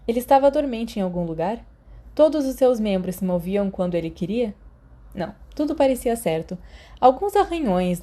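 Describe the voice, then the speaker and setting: A woman's voice: medium pitch, warm tone. A woman with a Brazilian accent reading a story aloud as a scripted narration. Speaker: audiobook narrator.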